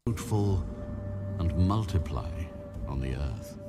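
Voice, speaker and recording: An actor playing God as a solemn, commanding male deity in a dramatized scripture recording, speaking in measured declarations over music. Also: rumbling low, animated, exaggerated voice. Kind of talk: dramatized audio scripture reading. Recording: produced audio with clear music underneath.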